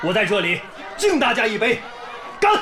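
An actor playing a young volunteer teacher in a village who speaks warmly and encouragingly. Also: male voice, sits high, sing-song, moderate pace, a crowd in the background. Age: young adult. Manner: warm, encouraging tone. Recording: background music under the scene.

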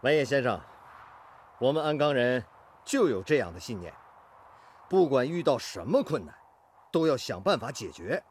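An actor playing a determined, good-natured factory master technician in a radio drama, earnest and full of sighing interjections. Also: male voice, medium pitch, sing-song, normal speed, pauses often, a little hiss or hum, lightly acted. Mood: proud, resolute.

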